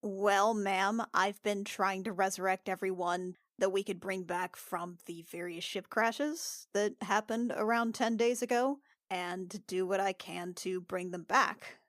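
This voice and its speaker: A woman improvises in-character dialogue as a player in a tabletop game. She is polite and hesitant, with a mid-range pitch and very dry, close sound. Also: steady tone, a little slow, hardly any pauses, a bit bright, no room sound.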